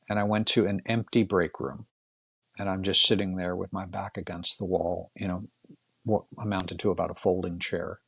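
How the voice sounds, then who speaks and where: Older male guest, husky and fry-edged, casual storyteller, close dry sound. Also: low-pitched, no echo.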